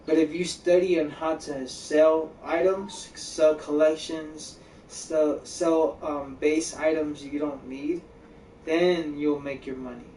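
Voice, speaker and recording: A man's voice: a little high, fairly even intonation, slow pace, warm tone, reverberant, far from the mic, slight background noise, low-quality recording. A man streaming a game, giving repetitive mentor-like advice in casual chat and calling the listener bro and buddy. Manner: talks like an older mentor, repeats himself, calls the listener bro and buddy.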